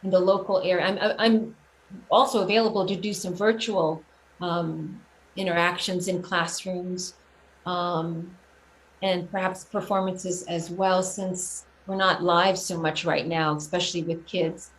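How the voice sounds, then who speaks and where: A woman giving a casual interview about her own work, speaking earnestly and haltingly as she thinks out her explanations. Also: on the low side, low-quality recording. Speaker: guest, children's singer and teaching artist. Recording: clear steady background noise.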